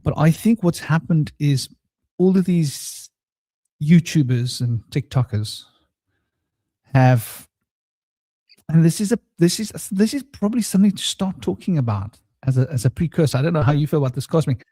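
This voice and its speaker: A man hosting a casual spiritual round-table chat, rambling and relaxed, with a somewhat droning voice and many fillers. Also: a little high, normal speed, breathy edge, dry recording. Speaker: host. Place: home studio.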